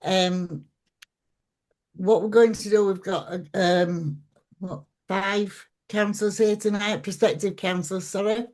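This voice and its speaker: Woman chairing a local hustings, loose and informal, mid-pitched voice. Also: steady tone, measured pace, a little mellow. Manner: informal, hesitant with fillers. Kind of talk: chairing a public meeting.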